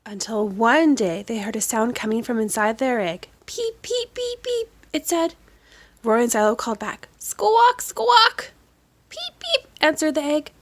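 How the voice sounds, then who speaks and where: High-voiced woman reading a children's storybook. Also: sing-song, a little slow. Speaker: school staff member reading aloud. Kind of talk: children's storybook read-aloud.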